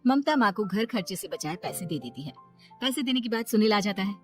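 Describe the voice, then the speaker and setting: A woman's voice: mid-range voice, lively intonation, a little fast, faint music behind. A woman storyteller narrating a scripted family moral story.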